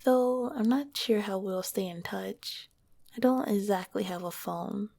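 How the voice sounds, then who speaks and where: A woman voicing a gentle, shy female slime monster girl in an ASMR roleplay, whispering softly and soothingly close to the microphone. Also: medium pitch, unhurried, creaky. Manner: soft whispering, soothing and hesitant.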